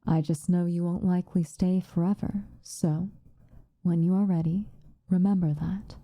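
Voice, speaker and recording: A woman playing a stern but protective witch in an ASMR roleplay, speaking softly and formally, often in a breathy whisper. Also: fairly low pitch, fairly even intonation, dry recording, muffled recording, lightly acted. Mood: gently reassuring. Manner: measured, formal, gently commanding.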